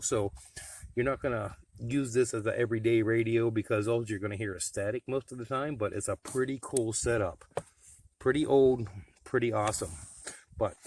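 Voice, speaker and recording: A middle-aged man talking casually and plainly, like an informal hobby vlogger showing off his gear. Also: mid-range voice. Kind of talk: casual vlog talk.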